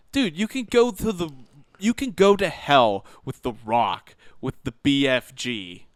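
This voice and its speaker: A man with a clear, smooth voice co-hosts a casual podcast. His pitch moves around a lot as he gives informal, slangy opinions. The sound is very dry, with little room in it. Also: huge pitch swings, slow pace, dry recording. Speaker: podcast co-host. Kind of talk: casual banter, movie and game commentary.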